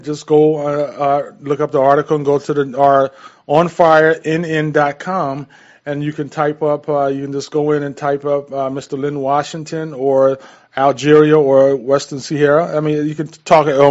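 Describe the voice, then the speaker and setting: A man's voice: on the high side, darkish tone, muffled recording. An American man co-hosting a radio show, talking casually and earnestly in short, repetitive phrases. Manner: repetitive, piecing points together in short phrases.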